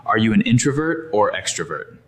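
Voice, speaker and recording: A man's voice: lowish voice, big pitch swings, fairly quick. A man with an Indian English accent hosting a spoken English lesson, asking short practice questions in a scripted drill.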